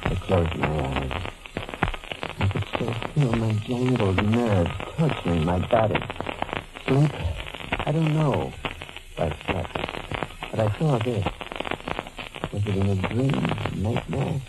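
An actor playing a tormented, paranoid doctor on the run in melodramatic 1940s radio suspense style. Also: male voice, fairly low pitch, lively intonation, takes it slowly, pauses often. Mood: haunted narration. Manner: melodramatic, haunted, intense. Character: tormented, paranoid male doctor on the run, narrating his nightmare. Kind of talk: 1940s radio suspense acting, first-person narration and dialogue. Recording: noisy, crackling 1940s radio recording.

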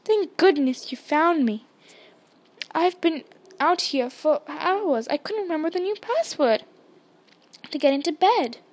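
A woman's voice: high voice, animated, unhurried, long pauses, pitched above the performer's own voice. A woman voicing a timid, anxious young schoolboy in a storybook, plaintive and pleading. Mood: relieved, plaintive. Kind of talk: dramatised storybook reading.

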